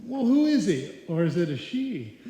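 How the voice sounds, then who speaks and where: Brash boastful loafer man acting, high mellow voice, slangy old-time radio comedy, echoey live stage. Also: big pitch swings, moderate pace. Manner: cocky, slangy.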